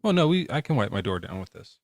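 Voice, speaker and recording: A man's voice: on the low side, very animated, quick pace, creaky, a little hiss or hum. A male podcast host talking casually from his garage in a jokey, loose way, with plenty of swearing. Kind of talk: casual debate chat. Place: home garage.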